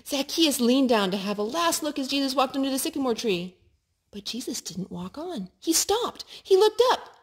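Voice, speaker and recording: A woman reading a picture book aloud to a child in an expressive way, pausing to ask questions. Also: high voice, husky. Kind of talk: storytime read-aloud. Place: living room indoors at a couch.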